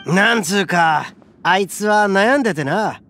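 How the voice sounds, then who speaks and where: A man voicing a boastful male oni in a game, rough, swaggering and big-hearted. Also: sits high, lively intonation, measured pace, fairly bright tone, full character voice. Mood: reassuring. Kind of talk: game voice acting. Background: game music.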